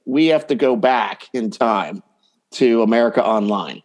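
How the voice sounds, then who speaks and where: A man's voice: mid-range voice, unhurried, a little mellow. A man talking casually and explaining his coaching work as a guest in an interview. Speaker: dating coach, podcast guest.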